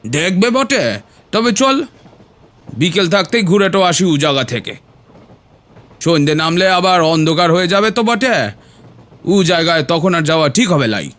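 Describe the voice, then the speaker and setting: A man's voice: sits high, animated, moderate pace, pauses often, a bit bright. A man playing a talkative, superstitious village uncle with a rural Bengali accent and folksy, hesitant phrasing in an audio horror story. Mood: obliging. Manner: folksy, repeats verbal tags, hesitant fillers.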